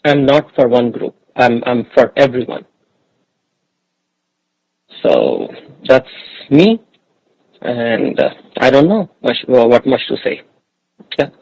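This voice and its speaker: A man's voice: medium pitch, big pitch swings, takes it slowly, gravelly, long pauses, darkish tone, no room sound, highs cut off. A man, a school board trustee, introducing himself at a board meeting in an earnest, hesitant way.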